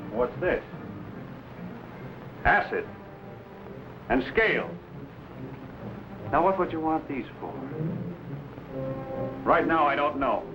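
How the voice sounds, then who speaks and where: Male actor as pushy, sly, menacing newsman of the frontier. Very animated intonation, dull old broadcast sound. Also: mid-range voice, lightly acted. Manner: insinuating, menacing. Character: pushy, prying, threatening frontier newspaperman. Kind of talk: 1950s adventure drama acting.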